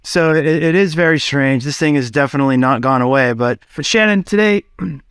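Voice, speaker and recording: A man hosts a business podcast in a lively, chatty way, his voice sitting a little high. He jumps quickly between thoughts with casual fillers. The recording is dry and close, with no room echo. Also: smooth. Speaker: podcast host.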